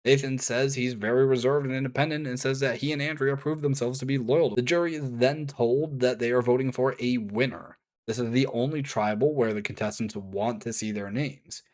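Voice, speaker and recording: A man narrating a written review at an ordinary mid pitch, casual and chatty, with offhand asides between points. His voice sounds worn and slightly hoarse from long talking. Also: moderate pace, hardly any pauses, darkish tone. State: voice strained and hoarse. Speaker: video reviewer.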